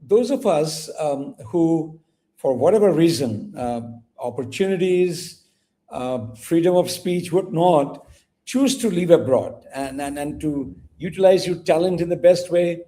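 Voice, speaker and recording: A man's voice: on the high side, unhurried, mellow. A man interviewing a guest, courteous and meandering, with frequent fillers and restarts. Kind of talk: interview conversation.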